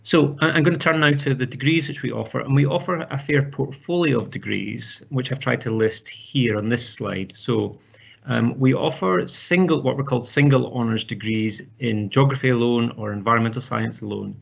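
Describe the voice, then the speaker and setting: Husky gravelly male lecturer. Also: medium pitch, moderate pace, muffled recording. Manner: formal, with repeated words and restarts. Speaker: university lecturer. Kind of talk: open day presentation.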